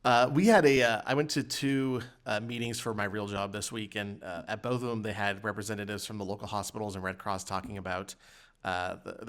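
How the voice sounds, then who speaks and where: A man's voice: fairly low pitch, fairly bright tone. A man co-hosting a podcast, telling anecdotes in casual chat with wry, exasperated asides. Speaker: podcast co-host.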